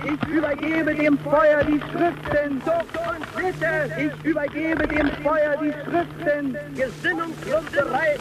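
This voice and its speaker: A man solemnly declaiming a ceremonial proclamation in a drawn-out way on an old archive recording. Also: extremely high pitch, level tone. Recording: very noisy old archive broadcast.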